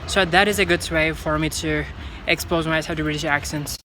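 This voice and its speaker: A man's voice: on the high side, fairly even intonation, a little fast, over loud music, poor audio. A man with a Turkish accent whose first language is Turkish, earnestly explaining how he studies English and repeating points to stress them. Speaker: English learning vlogger. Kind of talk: explaining study tips to viewers.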